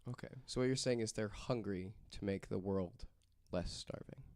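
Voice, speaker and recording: A man's voice: lowish voice, moderate pace, darkish tone. A man chatting casually as a podcast panelist, chipping in with wry, joking remarks. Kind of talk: casual chat.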